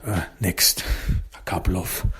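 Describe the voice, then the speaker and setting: A low-voiced man whose first language is German talks through his own podcast alone. His voice is very husky, and his delivery stays flat. He speaks haltingly and restarts his sentences often. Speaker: podcast host and author. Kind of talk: casual solo podcast monologue.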